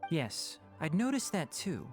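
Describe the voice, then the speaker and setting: Casting: sensible, dry-witted young video game scholar, expressive rise and fall in pitch. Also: male voice, measured pace, soft background music. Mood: thoughtful. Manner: dry, articulate, matter-of-fact. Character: wry, sensible young male scholar and guardian. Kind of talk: video game cutscene acting.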